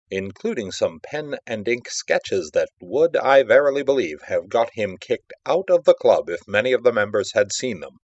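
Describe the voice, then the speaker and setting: A man's voice: lowish voice, no room sound, dull audio, lightly acted. A man playing a nervy, confiding art devotee who tells a horror story in an emphatic, conversational, theatrical way. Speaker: audiobook narrator. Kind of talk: dramatic first-person story reading.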